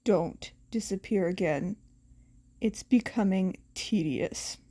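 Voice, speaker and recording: A woman voicing a stern male hero and teacher in a story, curt, deadpan and gruff. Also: mid-range voice, takes it slowly, pitched below the performer's own voice. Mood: gruff, grudging.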